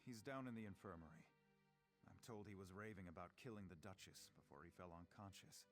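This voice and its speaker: A solemn knight commander. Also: male voice, low-pitched, brisk, faint music behind. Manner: solemn, formal. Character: grave male knight commander.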